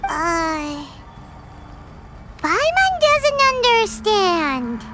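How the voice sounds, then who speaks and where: A woman voicing a tiny, excitable, easily confused fairy companion in a video game, squeaky and childlike. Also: very slow, loud music behind the voice, full character voice. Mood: puzzled. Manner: chirpy, speaks of herself in third person. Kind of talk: video game character acting.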